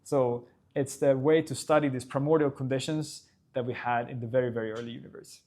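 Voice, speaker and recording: A man in his twenties from northern Sweden, a physics PhD student, calmly explaining his research in an interview. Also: medium pitch, brisk. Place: lab building at a physics facility.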